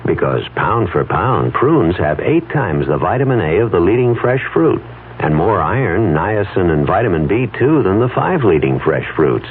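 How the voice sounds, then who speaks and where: A man's voice: deep voice, sing-song, moderate pace, phone-quality sound. A man announcing a scripted radio advertisement. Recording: band-limited old radio, faint noise.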